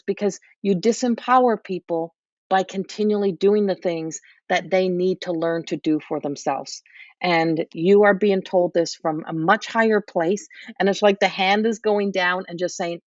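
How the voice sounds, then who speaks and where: A woman's voice: medium pitch, dry recording. A woman host giving a live intuitive guidance reading, talking in an earnest, repetitive coaching way, her voice starting to give out. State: voice going hoarse. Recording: distant, dull lo-fi mic.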